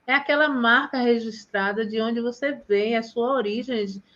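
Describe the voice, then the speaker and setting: Bahian woman hosting a live, emphatic and chatty, kinda higher pitch, dull lo-fi audio. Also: fairly even intonation, moderate pace, a bit bright, a little hiss or hum. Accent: Bahian Portuguese. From Bahia, Brazil. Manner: chatty, emphatic, says "gente" often. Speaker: live stream host. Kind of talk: casual live chat.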